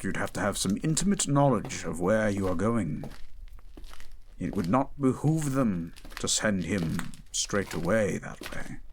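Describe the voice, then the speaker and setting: A man's voice: on the low side, a little hiss or hum. A man playing a learned, cautious royal chancellor, speaking formally and precisely in a fantasy audio drama. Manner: formal, deliberate, precise wording.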